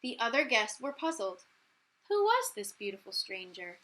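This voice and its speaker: A woman reading a children's story aloud as a teacher, in an animated, emphatic way with key words drawn out. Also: on the high side, normal speed. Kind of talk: expressive children's read-aloud narration.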